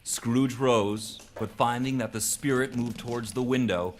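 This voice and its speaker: A man narrating a scripted radio drama live as a measured storyteller. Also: medium pitch, fairly even intonation, slight background noise. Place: live stage performance. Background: music.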